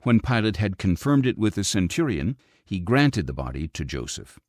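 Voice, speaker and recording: A man reading scripture as an audiobook narrator, in a measured, expressive storytelling delivery. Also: fairly low pitch, dry recording, clean studio sound.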